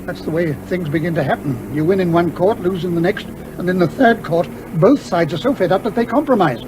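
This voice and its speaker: An actor playing a blustery, excitable Major in a British comic radio drama, with an English accent and a hearty, grumbling manner. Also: male voice, sits high, a little fast, lightly acted. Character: blustery, excitable male Major who distrusts lawyers. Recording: vehicle and outdoor noise.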